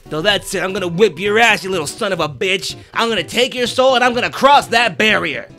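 A man's voice: high-pitched, expressive, brisk, bright, forceful tone, soft background music, full character voice. A man voicing a hot-tempered ghost in a training dummy, ranting and repeating himself in a comedic game dub. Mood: scornful. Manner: ranting, repeats words, easily flustered.

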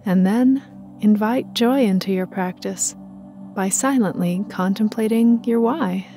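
A woman guiding a meditation in a calm, soothing and measured manner. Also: medium pitch, soft background music. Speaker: meditation guide. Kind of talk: guided meditation narration.

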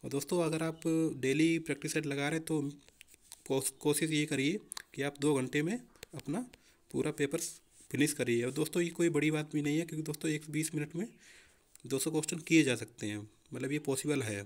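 A man's voice: fairly high pitch, brisk. A young man explaining exam preparation informally as a coaching teacher, often addressing listeners as friends.

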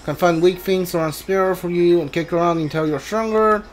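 A video game player giving casual live playthrough commentary, thinking aloud in a halting way with mumbled asides. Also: mid-range voice, steady tone, rough recording. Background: video game music.

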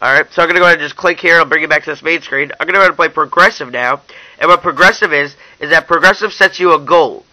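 Young guy doing a casual app walkthrough, really bright sharp voice, kinda high for a guy. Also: very fast, no echo, rough recording. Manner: informal, addresses viewers as you guys. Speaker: app reviewer. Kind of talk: casual hands-on app review narration.

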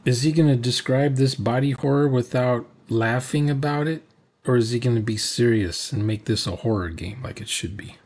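A man's voice: medium pitch, moderate pace, darkish tone. A man in his sixties giving casual, unscripted reaction commentary in a sarcastic, grumbling way. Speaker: reaction video host. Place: small cluttered room at home. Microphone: desk mic, unfiltered.

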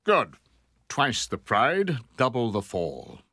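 An actor playing an arrogant, aristocratic older Sith lord in a video game, imperious and theatrical. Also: male voice, medium pitch, huge pitch swings, slow, sharp tone, dry recording, studio quality, heavily acted. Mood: haughty menace.

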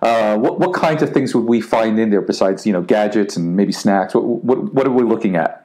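A man's voice: lowish voice, moderate pace, dark tone. A man hosting a podcast, asking questions in a hesitant way with many fillers. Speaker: podcast host. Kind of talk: interview questions.

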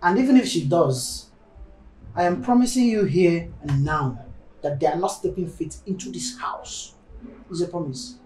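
Animated, reassuring Nigerian husband. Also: male voice, high voice, takes it slowly, pauses often, far from the mic, a little hiss or hum, exaggerated voice. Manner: soothing, insistent. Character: reassuring, self-assured husband. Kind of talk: film drama acting. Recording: echoey room, faint music behind.

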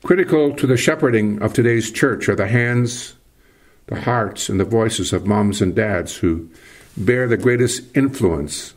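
An older man, a priest from Canada, giving a sermon in a slow, measured preaching cadence with a husky voice. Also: lowish voice.

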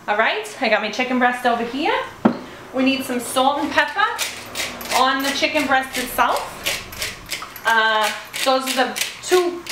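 A woman's voice: sits high, sharp tone, far from the mic. A woman in her thirties hosting a home cooking show, chatting through each step as she cooks in a small kitchen. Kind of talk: casual cooking demonstration. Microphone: camera mic at a distance. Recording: echoey kitchen, voice from off-mic, stove noise. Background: sizzling pan and stirring.

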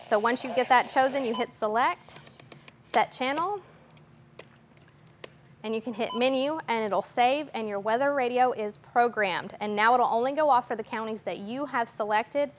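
Young female demonstrator, fairly high-pitched, orderly how-to delivery, light background noise. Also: moderate pace. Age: young adult. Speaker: guest demonstrator. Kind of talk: instructional step-by-step demonstration. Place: TV station weather office, indoors.

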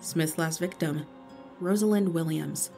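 A woman's voice: low-pitched, measured pace, vocal fry, dark tone, faint music behind. A woman acting a suspicious, wry police investigator in an audio drama, matter-of-fact and dry.

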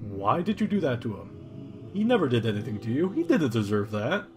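A man's voice: mid-range voice, wildly swooping pitch, a little fast, a little mellow, slight background noise. A man playing a bitter, protective young skeleton brother in a comic dub, talking urgently and informally. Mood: accusing. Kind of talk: comic dub dramatic acting.

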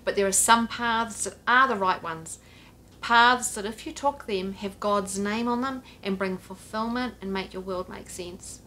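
A middle-aged woman preaches straight to the camera from a sofa in her living room, earnest and direct, her voice sitting at an ordinary middle pitch. A faint low rumble runs under the recording. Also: a little slow, pushed and bright. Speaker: pastor. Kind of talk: home church sermon to camera. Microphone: camera at a few feet, head and shoulders framing.

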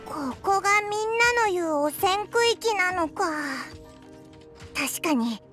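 A woman voicing a small childlike fairy companion in a Japanese anime game, squeaky, with blunt, curious, boyish phrasing. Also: expressive, slow pace, a bit bright, faint music behind, exaggerated voice. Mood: uneasy. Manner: boyish blunt speech, exclaiming questions.

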